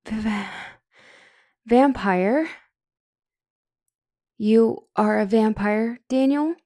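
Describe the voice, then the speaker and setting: A woman's voice: on the high side, dark tone, no room sound, studio quality. A woman playing a flustered, smitten couples counselor who stumbles and corrects herself in a comedic audio roleplay. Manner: stammering, awkwardly self-correcting. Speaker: doctor and counselor.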